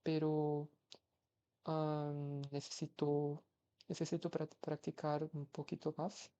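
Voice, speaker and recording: A man's voice: a little high, completely flat delivery, slow pace, mellow, smooth. A man of twenty-one from Brazil with a Brazilian Portuguese accent, a language-learning vlogger talking hesitantly with many fillers and restarts. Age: young adult, 21. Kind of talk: casual vlog, practicing foreign languages.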